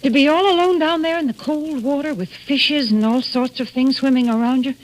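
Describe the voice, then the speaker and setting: A woman giving a homey, chatty sales pitch in the folksy style of 1940s radio advertising. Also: brisk, poor audio. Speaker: commercial spokeswoman on a radio show. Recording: old 1940s radio broadcast.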